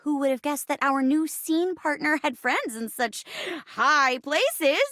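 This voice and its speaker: A peppy, theatrical video game chair who hosts improv shows. Her high, bright voice swings widely with bubbly, encouraging showmanship. The recording is close and dry. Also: lively intonation, unhurried, no echo. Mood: bubbly, playful. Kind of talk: video game character voice acting.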